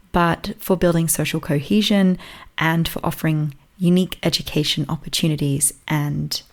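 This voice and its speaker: A woman hosting a podcast, reading a measured scripted introduction and asking interview questions. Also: on the low side, vocal fry, darkish tone. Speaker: podcast host.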